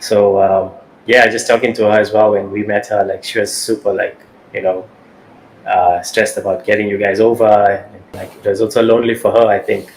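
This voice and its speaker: Male podcast host, low and even-toned, stop-start questions. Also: poor audio. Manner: halting, repeats words and says "you know". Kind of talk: podcast interview.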